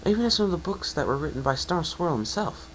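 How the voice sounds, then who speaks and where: A man's voice: a little high, animated, quick pace, slight background noise, pitched above the performer's own voice, close to an everyday voice, low-quality recording. A man voicing an earnest, bookish young female unicorn, polite and easily flustered, in a fan fiction reading. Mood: eager, bookish. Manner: eager, polite, explanatory.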